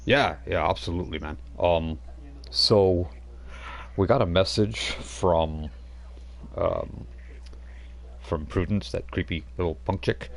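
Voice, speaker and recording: A man's voice: deep voice, slow, gravelly, pauses often, full character voice. A man voicing a tough-talking male werewolf brawler, blunt and slangy, ending lines with "man". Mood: gruff friendly.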